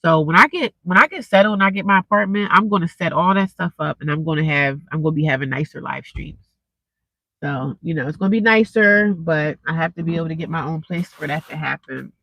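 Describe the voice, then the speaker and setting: A woman's voice: sits low, brisk, a bit bright, no echo, highs cut off. A young woman, stuffed up and congested, chatting casually with viewers on a live stream through a cheap earphone mic. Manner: rambling, chatty, talks straight to viewers.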